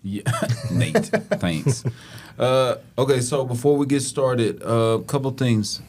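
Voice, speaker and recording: A man hosting a casual podcast, relaxed and joking, with plenty of fillers. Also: medium pitch, slow pace. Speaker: podcast host.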